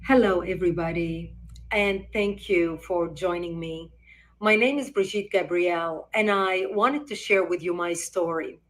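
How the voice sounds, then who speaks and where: A woman's voice: on the low side, a little slow, fairly bright tone. A woman political commentator speaking straight to her viewers in a composed, earnest way. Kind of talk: direct-to-camera personal address.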